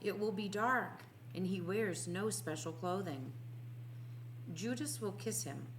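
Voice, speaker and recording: Slow-reading woman, solemn storyteller. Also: medium pitch, slight background noise, low-quality recording. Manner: solemn, deliberate. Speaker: audiobook reader. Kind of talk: dramatic religious story narration.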